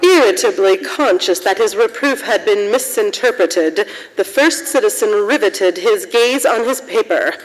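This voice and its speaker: A woman narrating a radio drama story in a measured, literary manner. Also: mid-range voice, lively intonation, natural voice. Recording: echoey hall with long reverb.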